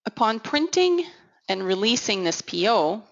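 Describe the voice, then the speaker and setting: A woman giving an instructional software walkthrough as a consultant, in a measured, explanatory way. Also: mid-range voice, sing-song. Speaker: technical consultant presenting a software demo. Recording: dull, lo-fi audio. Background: voice-over for a screen recording.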